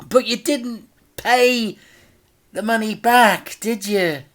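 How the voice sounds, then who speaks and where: Shrill, indignant young boy. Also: takes it slowly, a bit bright, heavily acted. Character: young boy, loyal, indignant, easily outraged. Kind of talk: children's storybook character voice.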